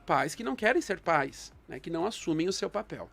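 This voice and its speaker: A man, a guest psychologist on a panel, speaking in a measured, reflective way with frequent fillers. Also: on the high side, animated, gravelly, a bit bright. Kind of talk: thoughtful panel discussion.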